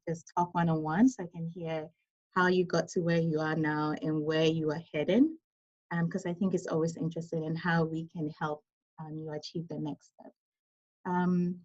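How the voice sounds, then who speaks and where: A woman's voice: lowish voice, mellow. A woman, a surgeon giving a conversational lecture over a video call with many ums and apologetic asides. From Ghana. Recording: dull lo-fi video call audio.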